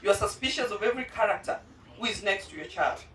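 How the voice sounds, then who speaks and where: A mother of two special-needs children giving a heartfelt personal testimony at a charity launch, earnest and pausing often. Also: mid-range voice, expressive, fairly bright tone, distant mic. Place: indoor event venue. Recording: roomy hall, voice from off-mic.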